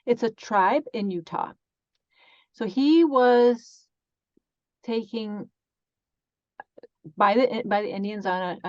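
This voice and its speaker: A woman's voice: medium pitch, a little mellow, no echo, highs cut off. A woman interpreting live for a deaf guest in an interview, speaking in the first person with restarts and fillers. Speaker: sign language interpreter voicing a deaf guest.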